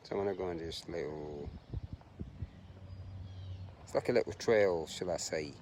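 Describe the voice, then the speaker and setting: A man vlogging outdoors on a park trail while he jogs, musing softly with many pauses. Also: sits low. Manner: soft-spoken, musing, halting with pauses. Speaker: vlogger and long-distance runner. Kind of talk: casual reflective vlog while out jogging. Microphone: handheld camera, self-filmed. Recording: outdoor recording with noticeable background noise.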